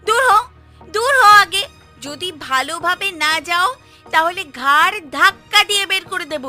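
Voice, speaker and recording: Bright, high Bengali village woman. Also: expressive, normal speed, heavily acted. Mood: angry, scolding. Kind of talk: cartoon voice acting. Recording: music behind the voice.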